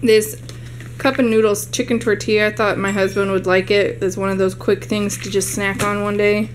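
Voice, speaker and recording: Young woman vlogger, casual rambling show-and-tell, mid pitch, faint room hum. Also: flat delivery, moderate pace. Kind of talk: casual haul video narration. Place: home living room.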